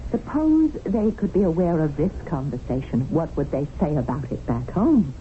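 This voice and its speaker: Radio-play idealist: articulate, righteous Englishwoman, lively intonation, tinny and slightly noisy broadcast. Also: low-pitched, normal speed. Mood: indignant. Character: prim, idealistic, indignant Englishwoman newly in India. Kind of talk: radio drama acting.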